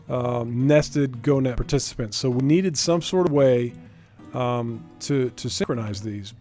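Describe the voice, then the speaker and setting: A man's voice: a little high, a little mellow. A man giving an informal, explanatory screen-recorded tutorial as a game developer, thinking aloud as he goes. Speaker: game developer presenting a software demo.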